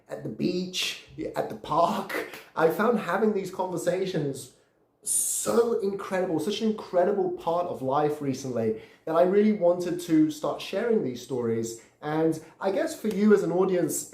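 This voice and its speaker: Earnest Brazilian-born man, mellow voice. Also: on the high side, reverberant. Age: 30s. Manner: earnest, repeats words while searching for phrasing. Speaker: podcast host. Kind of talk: casual storytelling monologue.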